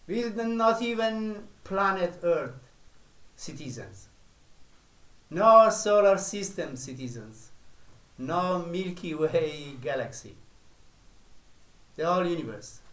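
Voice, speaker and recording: A male spiritual teacher preaching in a slow, deliberate way, leaving long pauses between phrases. His voice sits a little high for a man. The room around him rings with echo. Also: a bit bright.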